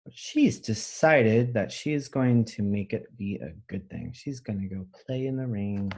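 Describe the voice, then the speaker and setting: Slow-paced man reading aloud to children. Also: on the low side. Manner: expressive reading, pausing to explain simply to children. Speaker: elementary school teacher reading aloud. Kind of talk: children's picture book read-aloud with explanations.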